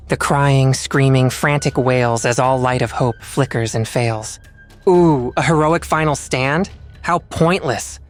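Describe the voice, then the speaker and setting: A sadistic villain, played by a woman, poetic and deliberate. The voice sits low and savours cruelty theatrically over background music. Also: no room sound. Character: sadistic rhyming villain relishing others' misery.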